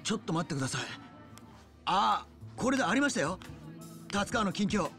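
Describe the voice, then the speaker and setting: Hot-headed young yakuza, high-pitched and animated. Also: male voice, a little fast, faint music behind, heavily acted. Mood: eager, deferential. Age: young adult. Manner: rough slangy junior speech. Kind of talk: acted video game cutscene dialogue.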